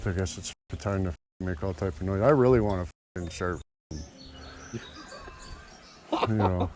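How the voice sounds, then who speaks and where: A man's voice: low voice, moderate pace. Man, casual banter, sweary, joking, outdoor noise. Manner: foul-mouthed, joking. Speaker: vlogger. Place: outdoors in a yard and driveway. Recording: noisy outdoor recording, vehicle and ambient noise.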